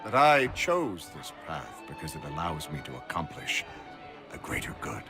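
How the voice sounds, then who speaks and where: An actor playing a cynical, mercenary colonial physician in a video game, arguing in a theatrical, emphatic, rhetorical way. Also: male voice, rumbling low, very animated, moderate pace, loud music behind the voice. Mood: sly, frank. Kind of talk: video game cutscene acting.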